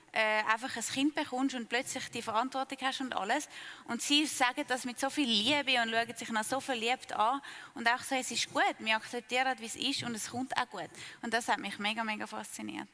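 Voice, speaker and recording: Young Swiss German actress, enthusiastic and slangy, slightly high voice, reverberant hall. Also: female voice, steady tone, fairly quick, bright, forceful tone. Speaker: actress in the sitcom. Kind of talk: casual interview answers.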